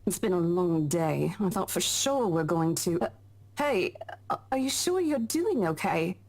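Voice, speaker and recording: A woman's voice: on the low side, expressive, a little slow, a little mellow. A woman playing a friendly, dutiful young employee in a visual novel game, casual and helpful. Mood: relieved, concerned.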